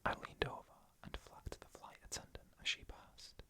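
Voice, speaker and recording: ASMR horror narrator: breathy whisper throughout, very sharp sibilant brightness, deliberate phrasing with pauses. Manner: hushed, deliberate, short pausing phrases.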